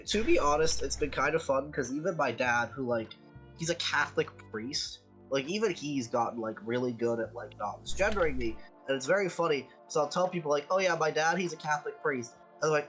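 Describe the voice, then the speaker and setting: A man's voice: medium pitch, brisk, fairly bright tone, soft background music, low-quality recording. Congested young man, blunt and swearing. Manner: blunt, swearing, laughing often. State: congested from a sinus infection. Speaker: streamer's guest. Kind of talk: casual chat.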